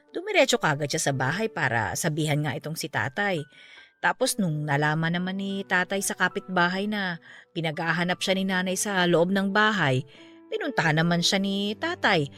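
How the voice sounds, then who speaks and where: An actress playing a middle-aged aunt in a radio drama, speaking calmly and matter-of-factly. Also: female voice, lowish voice, lightly acted. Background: faint background music.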